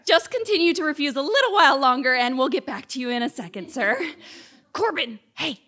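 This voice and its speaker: A woman's voice: high voice, animated, a little fast, bright, forceful tone. A woman playing a timid, loyal male friend in a comic stage play, nervous, earnest and pleading. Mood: fearful.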